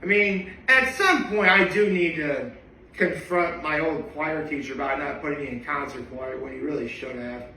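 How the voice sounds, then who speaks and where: A man's voice: fairly high pitch, moderate pace, pushed and bright, roomy sound, distant mic, slight background noise, poor audio. A young man with a tenor voice talks casually to his camera in a rambling daily vlog full of asides. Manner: chatty and rambling, with many asides. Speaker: daily vlogger. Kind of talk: casual reaction vlog monologue.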